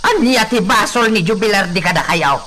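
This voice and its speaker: An actor playing an indignant villager hurling accusations in an Ilocano radio drama. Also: male voice, sits high, lively intonation, normal speed, bright, forceful tone. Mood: accusing.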